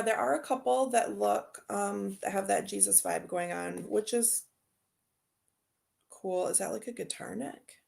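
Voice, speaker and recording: A woman's voice: mid-range voice, vocal fry, a little mellow. A woman hosting a tarot channel, chatting casually and rambling as she unboxes decks and answers viewer comments.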